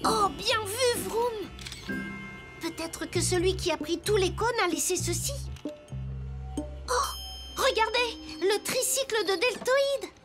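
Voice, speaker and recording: Very high-pitched eager little boy detective. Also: slow, pauses often. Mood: inquisitive. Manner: curious, bright-eyed, childlike. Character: eager young wooden toy boy detective. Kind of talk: animated children's cartoon acting. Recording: music behind the voice.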